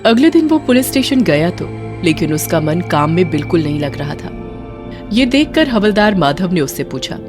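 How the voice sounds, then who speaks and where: A woman's voice: lowish voice, sing-song, moderate pace, music in the background. A woman narrating a scripted horror story in a dramatic storytelling style.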